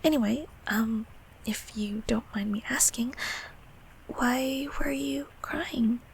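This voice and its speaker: Woman playing a bashful closet creature, soft half-whisper, slow, creaky edges, tender stammering reassurance, comfort audio roleplay. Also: a little high, slight background noise, poor audio. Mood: fond, nostalgic. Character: gentle, bashful childhood closet creature, comforting its grown-up human.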